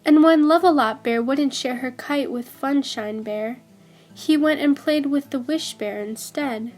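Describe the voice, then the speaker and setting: High-voiced woman reading a picture book. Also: a little slow, a little mellow, faint music behind. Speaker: volunteer storybook reader. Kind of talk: children's picture book read-aloud.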